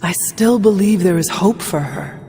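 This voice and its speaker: An actress playing an earnest, resolute young warrior heroine in a video game cutscene, speaking formally in measured phrases. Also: female voice, lowish voice, expressive, warm tone, a little hiss or hum. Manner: formal, measured, few contractions. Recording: clear music behind voice.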